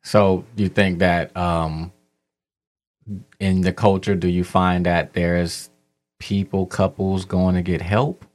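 A man's voice: low voice, level tone, takes it slowly. A man hosting a casual interview, asking curious, probing questions with many restarts. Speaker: host.

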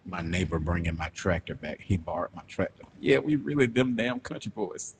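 A man's voice: sits low, lively intonation, normal speed, slight background noise. A man of about forty, chatting casually as a podcast co-host, laid-back and laughing often. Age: 40s. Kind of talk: casual chat.

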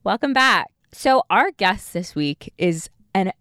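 A woman's voice: medium pitch, sing-song, takes it slowly, very noisy. A woman hosting a podcast, delivering a scripted guest introduction. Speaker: podcast host.

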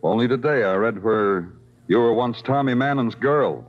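An American man playing a terse, deadpan, world-weary police detective in a vintage radio crime drama. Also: medium pitch, moderate pace, natural voice. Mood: cool, pressing. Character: hard-boiled male night-shift Broadway police detective, world-weary. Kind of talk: 1950s radio crime drama acting and narration. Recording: dull vintage radio recording with music.

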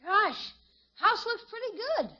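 A woman's voice: very high-pitched, lively intonation, slow pace, pushed and bright, heavily acted. A woman playing an eager, wisecracking young nephew in a 1950s radio comedy, talking in slangy exclamations. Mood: cheeky. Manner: slangy, exclamatory kid talk. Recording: dull old radio recording.

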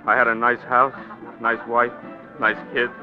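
A dull old radio recording with clear music behind holds an actor playing a young American man in despair. He is meek and plaintive, pleading in the manner of 1940s radio drama, at a middling pitch. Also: little pitch movement, measured pace, lightly acted. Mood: miserable, guilty. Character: despairing young bank cashier, pale, meek and guilt-ridden.

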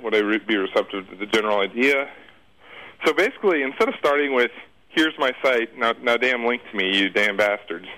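A man's voice: mid-range voice, sharp tone, rough recording. A man giving casual interview answers as a guest, informal and rambling in the way he talks.

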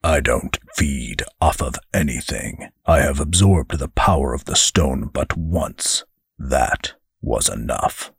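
A man voicing a stern, proud fallen angel in an audiobook, speaking gravely and slowly. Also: extremely low pitch, lively intonation, no room sound, clean studio sound, voice pushed down, exaggerated voice.

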